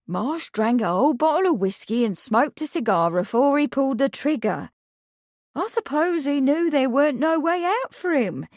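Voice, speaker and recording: A woman's voice: high-pitched, big pitch swings, moderate pace, darkish tone, no room sound, clean studio sound, pitched above the performer's own voice. A woman voicing a middle-aged household servant with rustic country speech, blunt and matter-of-fact. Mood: gossipy.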